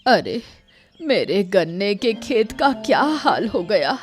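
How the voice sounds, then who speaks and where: A woman's voice: mid-range voice, sing-song, slow pace, soft background music. A woman playing a distressed old village farmer woman in a Hindi cartoon story. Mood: distressed, anxious.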